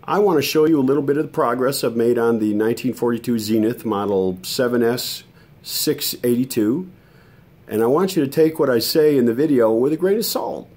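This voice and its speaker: Casting: American male hobbyist vlogger, dark mellow voice, mid pitch, folksy casual delivery. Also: a little fast. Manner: folksy, conversational. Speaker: hobbyist radio restorer and video host. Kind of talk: casual vlog chat to viewers.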